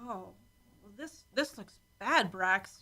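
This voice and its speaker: A woman's voice: medium pitch, animated, slow pace, pushed and bright, heavily acted. A woman playing a feisty, competitive space officer in a science fiction radio play, challenging and insistent. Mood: insistent concern.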